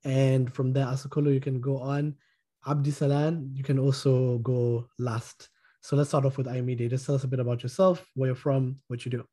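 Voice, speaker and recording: Soft-toned male podcast host. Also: medium pitch, flat delivery. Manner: measured, introduces guests politely. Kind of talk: hosting a panel discussion.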